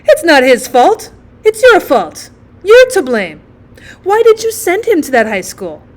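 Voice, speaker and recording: A woman voicing a blunt, meddling aunt, loud, emphatic and accusing, in a short story reading. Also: very high voice, sing-song, unhurried, pitched above the performer's own voice, heavily acted. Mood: indignant.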